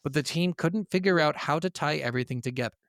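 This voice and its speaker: A man hosting a casual gaming podcast, telling stories in an animated, conversational way. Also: fairly high pitch, quick pace, no echo, studio quality. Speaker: podcast host.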